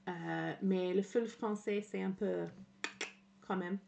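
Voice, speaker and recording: A woman's voice: mid-range voice, steady tone, slow pace, vocal fry, dark tone. An Englishwoman with an English accent, speaking French as a streamer in casual commentary, hesitant with many fillers and English words slipped in. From England. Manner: hesitant, frequent "euh" fillers, mixes in English words, laughs often.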